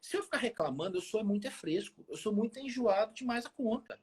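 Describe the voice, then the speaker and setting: A man's voice: high voice, expressive, fast talker, a little mellow, slightly rough. A man of forty with a rural Minas Gerais Brazilian accent, chatting casually and colloquially about his own life, repeating phrases for emphasis. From small town in Minas Gerais, Brazil. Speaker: health expert guest on a live chat. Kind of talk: casual chat with personal stories. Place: remote social media live video call.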